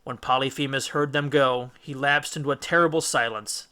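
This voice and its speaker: A man's voice: medium pitch, fairly even intonation, moderate pace, bright, forceful tone, smooth. A man reading an adventure story aloud as dramatic, scripted audiobook narration. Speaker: audiobook reader.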